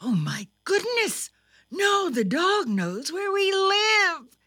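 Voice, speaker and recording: A woman's voice: high-pitched, takes it slowly, pushed and bright, no room sound, clean studio sound, pitched above the performer's own voice, heavily acted. A woman voicing a protective, fretful mother rabbit in a children's story, cautioning in a motherly way. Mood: alarmed.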